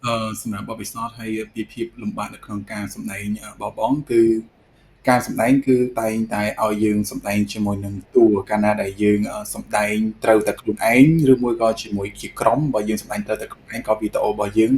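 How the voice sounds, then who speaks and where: A man from Cambodia answers in a rather low, flat voice at an extremely quick pace. It is an interview reply with faint ambience behind it. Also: slight background noise. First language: Khmer. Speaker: pageant contestant and actor.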